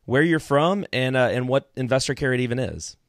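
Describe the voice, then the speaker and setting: A man's voice: medium pitch, fairly quick, no room sound. A man, enthusiastically hosting a podcast interview over a video call with a studio mic. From Alabama. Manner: upbeat, enthusiastic. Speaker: podcast host. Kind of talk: casual interview. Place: office with banner backdrop, indoors. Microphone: studio mic in front, earbuds, video call.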